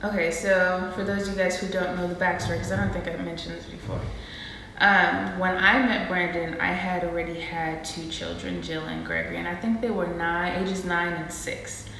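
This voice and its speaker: Woman, 30s, vlog co-host, bright and animated, echoey room. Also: on the low side, moderate pace. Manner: animated, laughing, dramatic exclamations. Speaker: vlogger and co-host. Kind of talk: casual couples Q&A chat. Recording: roomy echo with faint noise.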